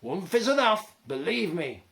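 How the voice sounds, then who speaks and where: A man's voice: sits high, sing-song, a little slow, vocal fry, fairly bright tone, pitched above the performer's own voice, heavily acted. A man voicing a stammering nervous gnome. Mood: anxious. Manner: stammering, urgent. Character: anxious young male gnome, nervous.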